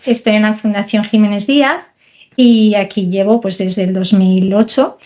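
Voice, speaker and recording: A woman's voice: medium pitch, fairly even intonation, moderate pace, a little mellow. A woman in her forties, from Portugal, a surgeon answering interview questions in a hesitant, explanatory way. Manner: hesitant, many fillers and restarts while explaining. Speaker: thoracic surgeon, guest.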